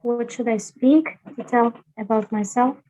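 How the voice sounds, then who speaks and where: Slow, careful woman. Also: on the high side, dark tone, low-quality recording. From Kazakhstan. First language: Kazakh. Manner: careful and halting, restarts sentences. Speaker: online English teacher, guest. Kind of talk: video call self-introduction. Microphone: video call.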